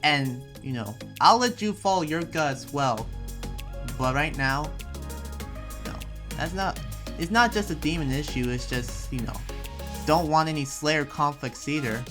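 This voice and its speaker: A man playing an earnest, preachy but friendly town leader in an anime roleplay, speaking in a halting, informal way. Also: fairly high pitch, animated. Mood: earnest, cautioning. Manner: halting, rambling, informal advice-giving. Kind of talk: improvised Minecraft anime roleplay. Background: music under the voice.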